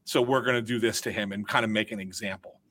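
Bright-voiced man explaining hockey news. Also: on the low side, fairly even intonation. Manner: measured, cautious explaining with fillers. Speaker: hockey reporter. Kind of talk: casual sports talk.

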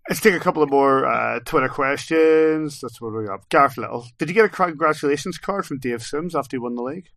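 A man co-hosting a podcast, briskly reading out listener questions. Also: on the high side, creaky, a bit bright. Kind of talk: casual podcast chat.

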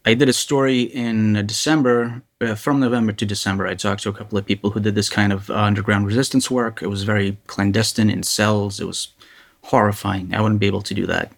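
Male reporter as interviewee, lowish pitch, candid eyewitness storytelling with a halting delivery. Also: steady tone, creaky. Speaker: journalist being interviewed. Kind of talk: candid first-person interview account.

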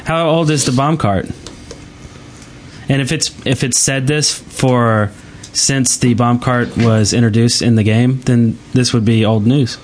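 A man's voice: medium pitch, measured pace, slight background noise. A man co-hosting a gaming podcast in casual chat and reading out listener mail.

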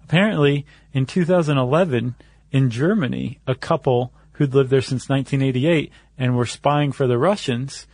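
American man, mid-pitched, very dry sound. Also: moderate pace, darkish tone, no room sound. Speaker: podcast co-host. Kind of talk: casual explanatory conversation.

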